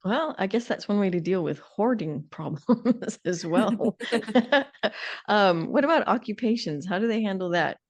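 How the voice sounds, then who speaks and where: A woman's voice: medium pitch, animated, normal speed. Sardonic, opinionated woman chatting casually. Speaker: podcast co-host. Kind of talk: casual conversational discussion.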